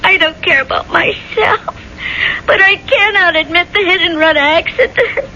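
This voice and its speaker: Radio drama wife: guilt-stricken, stammering, tearful, bright high voice on a dull, noisy vintage recording. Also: female voice, unhurried, exaggerated voice. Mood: anguished. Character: anguished guilt-ridden wife confessing a hidden secret. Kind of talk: 1970s radio drama acting.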